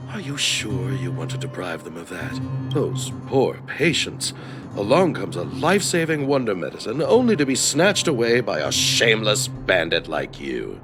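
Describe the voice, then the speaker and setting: An actor playing a scheming, self-righteous swindler in a video game, theatrical, indignant and sneering. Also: male voice, on the low side. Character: scheming self-righteous male swindler, president of sham mutual aid society. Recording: loud music behind voice.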